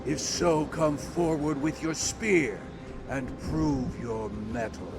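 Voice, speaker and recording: A grim, slow-spoken warrior king. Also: on the high side. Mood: commanding. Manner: solemn, stately, archaic formal diction. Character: grim, resolute warrior king ready to sacrifice his son. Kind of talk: dramatic game voice acting. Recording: loud dramatic music behind the voice.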